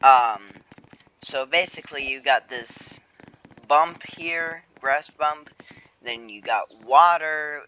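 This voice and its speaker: A man's voice: a little high, takes it slowly, pushed and bright, clear, resonant tone, highs cut off. A young man casually walking through his own game on a screen recording, rambling with fillers and self-corrections. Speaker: game creator showing his game. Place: home room.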